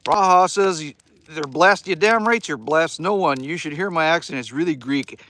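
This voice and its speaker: A man's voice: a little high, normal speed, bright, forceful tone. Middle-aged canadian guy chatting w viewers from his cabin, jokey, bit of hiss. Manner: chatty, folksy, joking. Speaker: livestream host. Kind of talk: casual live chat reading viewer comments. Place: cabin by a wood fire.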